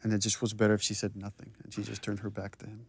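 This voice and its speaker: A man giving thoughtful, halting commentary on game cutscenes he directed. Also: fairly low pitch, monotone, creaky, heavy background noise. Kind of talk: reflective commentary over cutscenes.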